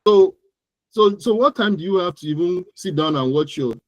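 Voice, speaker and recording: A man's voice: high voice, expressive, normal speed, no room sound, rough recording. A man with a Nigerian accent giving interview answers as an expert guest, explaining things emphatically and often repeating words and phrases. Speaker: guest digital marketing expert.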